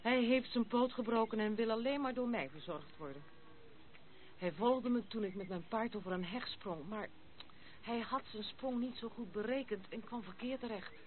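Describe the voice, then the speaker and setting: A woman's voice: medium pitch, lightly acted. An actress playing a proud, outspoken young noblewoman with some bitterness in a Dutch radio play. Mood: brisk, candid. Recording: muffled, noisy, narrow-band old radio recording.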